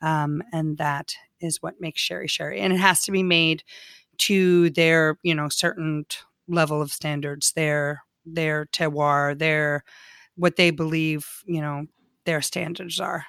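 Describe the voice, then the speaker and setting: A woman's voice: low voice, steady tone, measured pace, vocal fry, a bit bright, no echo, studio quality. A woman co-hosting a podcast, explaining in a hesitant way with many fillers. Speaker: podcast co-host. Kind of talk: casual conversational chat.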